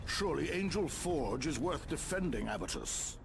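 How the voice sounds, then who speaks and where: An actor playing a solemn, principled warrior-scholar in a video game, measured and earnest. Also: male voice, fairly high pitch, lively intonation, vocal fry, background noise. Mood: questioning. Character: solemn, principled warrior librarian, morally troubled. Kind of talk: dramatic video game acting.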